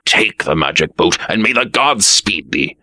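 A man's voice: low voice, animated, normal speed, sharp tone, no echo, studio quality, exaggerated voice. A man voicing a proud, easily offended fairy-tale prince with indignant, archaic speech.

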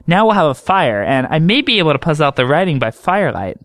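A man's voice: a little high, very animated, quick pace, a bit bright, no echo, voice pushed up. A man voicing an earnest young man who explains his strange dreams in a thoughtful, reasoning way.

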